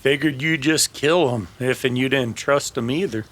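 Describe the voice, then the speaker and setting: A man plays a tough Old West bounty hunter. The voice sits at a medium pitch, plain-spoken and blunt, in the manner of a cowboy radio drama. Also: normal speed, fairly bright tone, close to an everyday voice. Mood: matter-of-fact. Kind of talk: old-time radio western acting.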